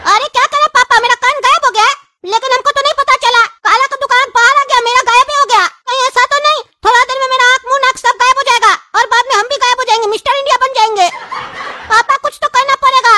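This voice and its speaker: A man voicing a worried, pleading little boy in a cartoon, with a Bihari Hindi accent and a pitched-up voice. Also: steady tone, fast, sharp tone, slight background noise, heavily acted. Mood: anxious. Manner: pleading, complaining. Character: whiny, worried little boy, cartoon pumpkin family son.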